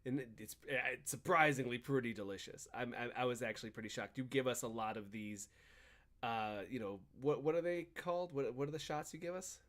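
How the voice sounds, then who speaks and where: A man's voice: mid-range voice, fairly quick, fairly bright tone. A man hosting a podcast, talking in casual banter and chatty ad reads with an emphatic, swinging delivery. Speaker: podcast and stream host.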